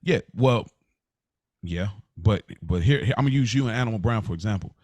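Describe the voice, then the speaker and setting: A man's voice: on the low side, expressive, brisk, a little mellow, dry recording. A man co-hosting a podcast in casual chat, repeating phrases as he argues his point with examples. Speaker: podcast co-host.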